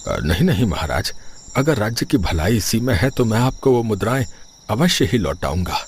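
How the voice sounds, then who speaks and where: A man's voice: lowish voice, very animated, moderate pace, a little hiss or hum. A man playing a poor, humble Brahmin in a cartoon story, bewildered and pleading in a hesitant, deferential way. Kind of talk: Hindi moral cartoon story acting.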